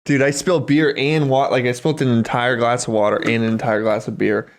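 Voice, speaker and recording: A man's voice: medium pitch, level tone, fairly quick. A man co-hosting a podcast, chatting casually with a friend in a wry, easygoing and profane way. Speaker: podcast co-host. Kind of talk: casual comedic chat between friends.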